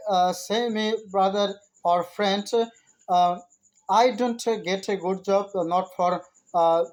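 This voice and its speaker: A woman with a Bengali accent, a native Bengali speaker giving an earnest, halting motivational English lesson in learner's grammar. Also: mid-range voice, a little mellow, poor audio. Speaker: spoken English teacher.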